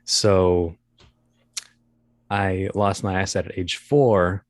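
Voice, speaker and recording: Male, early thirties, low-pitched, dryly recorded; casual interview guest with a halting, self-deprecating wit. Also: fairly even intonation, no echo. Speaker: guest, voice actor and comedian. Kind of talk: casual interview, anecdotal storytelling.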